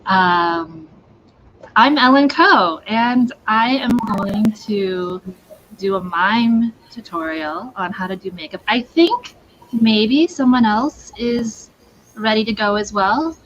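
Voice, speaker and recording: Female presenter, medium pitch, unhurried and casual, dull faintly noisy audio. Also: fairly bright tone. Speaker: performer. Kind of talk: casual tutorial introduction. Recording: muffled, faintly noisy online stream.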